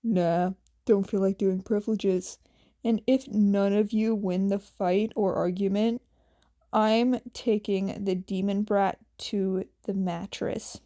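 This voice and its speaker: A woman's voice: mid-range voice, steady tone, slow, warm tone, pitched below the performer's own voice. A woman voicing a bossy, exasperated young man in a story, with dry, casual, slangy lines. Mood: firm, exasperated. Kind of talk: acted storybook dialogue.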